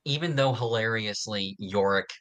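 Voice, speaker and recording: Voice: mid-range voice, moderate pace, a bit bright. A podcast co-host chatting informally in a casual fan discussion.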